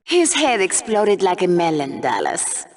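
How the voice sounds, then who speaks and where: An actress playing a gleefully sadistic co-anchor of a combat TV show in a video game, with polished presenter delivery and menacing relish. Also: female voice, on the low side, wildly swooping pitch, a little slow, heavily acted. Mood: gleeful cruelty. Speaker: sports show co-host. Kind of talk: mock newscast commentary.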